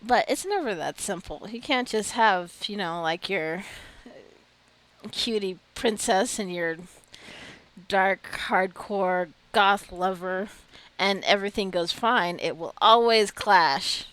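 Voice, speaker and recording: A woman's voice: medium pitch, takes it slowly, a bit bright. A woman giving casual gameplay commentary, chatty and giggly, often talking straight to her game characters. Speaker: gameplay video creator.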